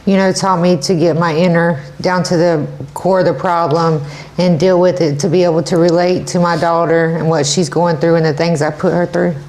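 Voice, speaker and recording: A woman's voice: fairly low pitch, steady tone, normal speed, darkish tone, rough recording. Polite woman guest in her forties. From South Carolina. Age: 40s. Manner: polite, brief, answers with yes ma'am. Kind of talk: casual interview answers.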